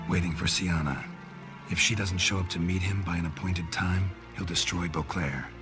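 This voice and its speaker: An actor playing a stoic, world-weary monster hunter in a video game, terse and deadpan. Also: male voice, sits low, normal speed, close to an everyday voice. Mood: grim, blunt. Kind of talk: understated video game acting. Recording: faint music behind the voice.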